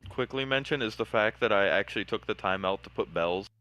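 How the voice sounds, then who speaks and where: A man's voice: lowish voice, brisk, sharp tone, no echo, rough recording. A man in a casual voice chat, explaining his building project hesitantly with many filler words.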